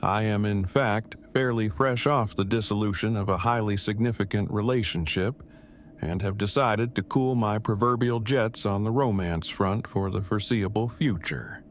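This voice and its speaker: Guy acting a nerdy heartbroken man, super formal n wordy, lowish voice, noisy audio drama recording. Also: normal speed, close to an everyday voice. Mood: stiff, matter-of-fact. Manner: stilted, formal, wordy phrasing. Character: verbose, self-deprecating, heartbroken nerdy man, stiffly formal.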